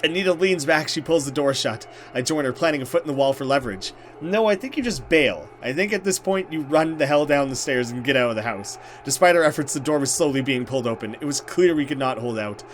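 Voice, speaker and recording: A man's voice: mid-range voice, animated, fast, few pauses. Man narrating a game, faint background noise. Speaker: let's play host. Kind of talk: dramatic game narration with casual reaction commentary.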